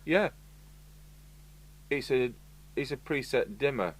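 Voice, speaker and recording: A man's voice: mid-range voice, animated, takes it slowly. A British man giving casual, matter-of-fact hands-on commentary as a repair technician, thinking aloud while he works. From United Kingdom. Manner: matter-of-fact, musing, talks to himself while working. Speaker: radio repair technician presenting a review. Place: indoor electronics workshop. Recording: clear background noise with electrical hum.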